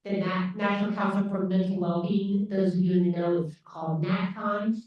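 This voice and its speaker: A woman's voice: mid-range voice, steady tone, moderate pace. A woman moderator introducing panelists at a live event, with frequent fillers and restarts. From grew up on a dairy farm. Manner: hesitant, many fillers. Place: large echoey lecture room. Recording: distant echoey hall audio, dull.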